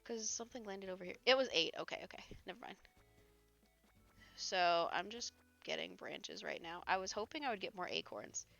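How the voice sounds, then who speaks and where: Young woman streamer, hoarse and gravelly, lively pitch, chatty with frequent laughs. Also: normal speed, faint music behind. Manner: chatty, reads chat aloud, laughs often. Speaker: live streamer. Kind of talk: casual chat while gaming.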